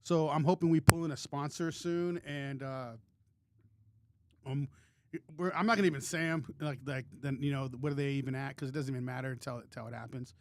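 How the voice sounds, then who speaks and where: A man's voice: mid-range voice, fairly even intonation, brisk, creaky, dry recording. A man co-hosting a podcast in casual chat, rambling and joking with many restarts. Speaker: podcast co-host.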